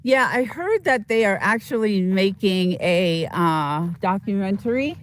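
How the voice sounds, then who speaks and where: A woman hosting a casual live stream outdoors in the desert, sniffly with a slight cold, talking in a halting, rambling way full of fillers as she greets viewers. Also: mid-range voice, animated, slight background noise. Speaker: livestream host.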